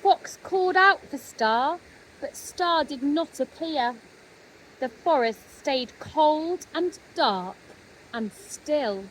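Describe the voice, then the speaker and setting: Lady reading a kids story, high voice, takes it really slow, bit of noise behind. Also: expressive, low-quality recording. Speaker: storybook reader. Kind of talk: scripted children's story narration.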